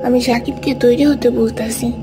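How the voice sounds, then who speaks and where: Casting: woman playing a fussing old village mum for a cartoon, high voice, music behind. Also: fairly even intonation, moderate pace, close to an everyday voice. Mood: kindly, coaxing. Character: kindly elderly village mother fussing over her son. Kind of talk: cartoon story acting.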